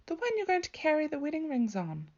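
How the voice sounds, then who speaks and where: A woman voicing a firm, brisk mother who lays down the rules emphatically in a storybook. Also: sits high, huge pitch swings, darkish tone, lo-fi sound, pitched above the performer's own voice, heavily acted. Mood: cross, questioning.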